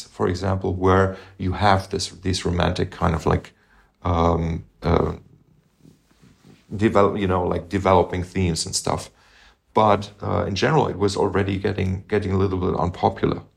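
A man with a very low, gravelly voice talks over music theory in an unscripted chat. He pauses and restarts often, now and then humming a few notes to show what he means. He sounds middle-aged and thoughtful. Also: level tone. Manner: thoughtful, halting, hums melodies to illustrate. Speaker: podcast co-host, musician. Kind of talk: casual music discussion.

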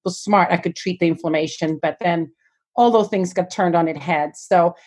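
A woman's voice: on the low side, normal speed, darkish tone. A woman in her fifties from Sweden, a physical therapy professor, talking in a chatty, self-deprecating way with frequent ums. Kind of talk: panel podcast discussion.

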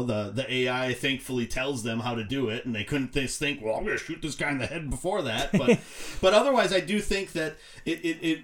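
A man on a podcast panel talking casually and loosely about films, rambling and correcting himself as he goes. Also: mid-range voice. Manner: rambling, self-correcting, hesitant phrasing. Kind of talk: casual movie discussion.

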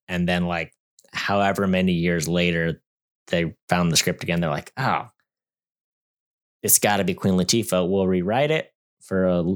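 A man with a very deep, low voice co-hosts a podcast in easy casual chat. He rambles through fun facts with plenty of fillers and restarts, slipping in dry jokes as he goes. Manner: wry, joking. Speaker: podcast co-host.

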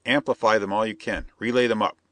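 A man voicing a brisk male chief who gives curt orders. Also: fairly low pitch, steady tone, a bit bright, no room sound, lightly acted. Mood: commanding. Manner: curt, commanding.

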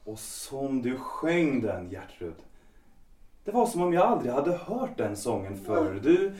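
Actor as moody young composer, swinging intonation, echoey. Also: male voice, medium pitch, very animated, darkish tone, close to an everyday voice. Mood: admiring, musing. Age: young adult. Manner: theatrical, moody, romantic. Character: young, proud, restless composer and lover. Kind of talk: period stage-play audio drama. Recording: roomy, distant from the mic.

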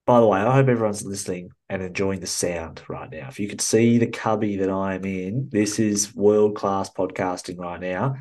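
A man's voice: deep voice, moderate pace, hardly any pauses, darkish tone. A man from New Zealand with an Australian-sounding accent, hosting a podcast interview in a chatty, upbeat way from a small cubby. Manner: chatty, upbeat, laughs easily. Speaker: podcast host. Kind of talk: casual interview chat.

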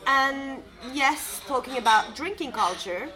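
A woman's voice: sits high, expressive, measured pace, over music, poor audio. A woman from Turkey co-hosting a podcast in casual chat, laughing easily and using many fillers. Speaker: podcast co-host. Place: sitting at a bar or cafe.

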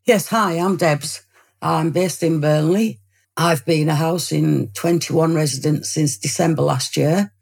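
A speaker in their fifties with a Yorkshire accent, chatting in a lively way as a podcast guest, often restarting sentences. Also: mid-range voice, a little slow, creaky, breathy edge. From Yorkshire, England. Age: 50s. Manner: lively, talkative, hesitant restarts. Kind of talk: casual chat.

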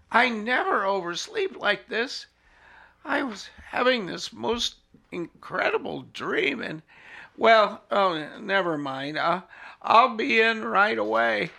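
A man's voice: high-pitched, creaky, sharp tone, exaggerated voice. An actor playing a flustered, meek, bumbling man who stammers and hesitates, in an audio drama. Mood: panicked, apologetic.

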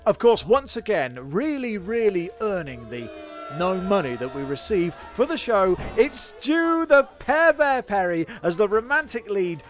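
A man's voice: sits high, a bit bright. A British man co-hosting a silly history comedy podcast, with playful, mock-grand scripted narration.

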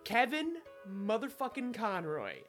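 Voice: mid-range voice, very animated, a little slow, fairly bright tone, faint music behind. A podcast host chatting casually, in an emphatic and profane way.

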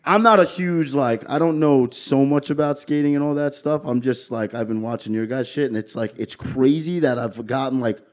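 Casting note: young male podcast host, loose and profane, animated banter, mid-range voice. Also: medium pitch, moderate pace, few pauses. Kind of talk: casual podcast chat.

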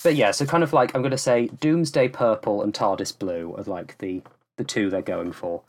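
A man's voice: lowish voice, moderate pace. A man guesting on a podcast, explaining things in a loose, rambling, casual way. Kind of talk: casual chat.

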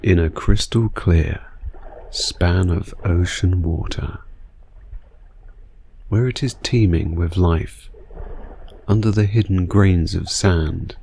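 A man narrating a scripted guided sleep meditation in a soothing, unhurried manner with long pauses. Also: sits low, vocal fry, mellow, slight background noise.

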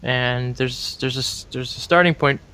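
A man's voice: medium pitch, normal speed, sharp tone, clear, resonant tone, rough recording. A man in a casual conversation, making earnest, opinionated points and searching for words as he goes.

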